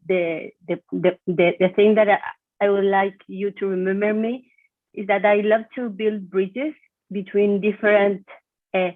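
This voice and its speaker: A woman introducing herself on a video call, halting and restarting her sentences. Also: mid-range voice, no room sound. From Chile. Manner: hesitant, many restarts and fillers. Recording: tinny phone-like call audio.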